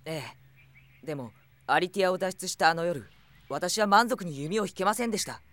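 A man's voice: sits high, brisk, a bit bright. A man playing a humble, earnest young archer knight in an anime audio drama, speaking politely and unsure of himself. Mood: flustered, humble.

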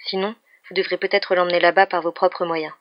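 A storybook narrator voicing a confident, bossy but kind young woman doctor with a brisk, professional manner. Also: mid-range voice, flat delivery, no room sound, close to an everyday voice. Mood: calm, practical. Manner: professional, matter-of-fact, commanding. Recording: dull, muffled lo-fi recording.

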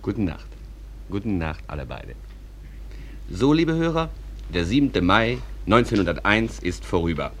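An actor playing a wry, folksy stage manager who narrates town life conversationally to radio listeners. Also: male voice, on the low side, big pitch swings, unhurried, long pauses, a bit bright, slight background noise, full character voice. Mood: wry, companionable. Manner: conversational, addresses listeners directly. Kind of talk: German radio play narration.

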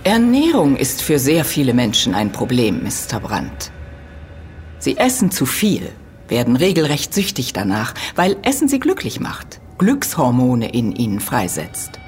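A woman's voice: rumbling low, wildly swooping pitch, a little slow, darkish tone, soft background music, heavily acted. A woman playing a middle-aged professor in an audio drama, lecturing precisely and patiently correcting others with firm emphasis. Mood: instructive. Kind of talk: German audio drama dialogue.

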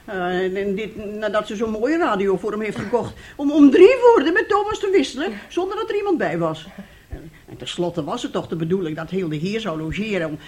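A husky middle-aged mother, played by a radio actress. Her voice swings widely in pitch as she coaxes and schemes, with a weary, wheedling edge. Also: expressive, fairly quick, a little mellow, lightly acted. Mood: coaxing, nervous. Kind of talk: radio drama acting.

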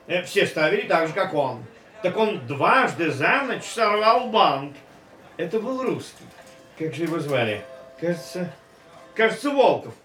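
A talkative casino gentleman in a radio play, reminiscing in a lively, fairly high voice. He sounds set back from the mic in a roomy space. Faint music sits underneath. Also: recorded from a distance. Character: chatty older male casino gentleman, reminiscing.

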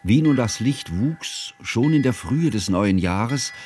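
An actor playing an earnest, scholarly narrator in a literary radio drama, speaking in a formal, measured, solemn way. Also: male voice, mid-range voice, animated, a little mellow, faint music behind. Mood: solemn, concerned. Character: earnest, scholarly male narrator, devoted old friend.

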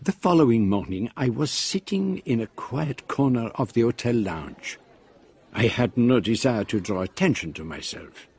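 An actor playing an observant gentleman detective who narrates a radio drama deliberately, in an ominous storytelling manner. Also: mid-range voice, expressive, breathy edge. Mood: discreet, watchful.

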